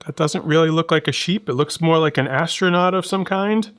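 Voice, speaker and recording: A middle-aged man hosting a casual, conversational product review, explaining things in a measured way and speaking to viewers. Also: fairly high pitch, a bit bright, no echo, studio quality. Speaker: gadget reviewer and host.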